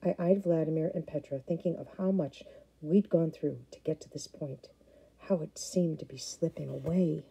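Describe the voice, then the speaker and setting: Husky-voiced woman reading a novel. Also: deep voice, moderate pace, dark tone. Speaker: audiobook narrator. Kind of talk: first-person novel reading.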